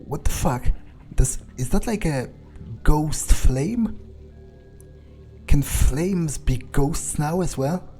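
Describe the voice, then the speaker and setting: Slow-talking, mellow, sardonic man musing aloud. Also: a little high, animated, faint music behind. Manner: hesitant musing, dry sarcasm, swearing. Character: cynical, sardonic, foul-mouthed male vampire. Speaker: let's player speaking in character. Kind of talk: in-character gaming commentary, thinking aloud.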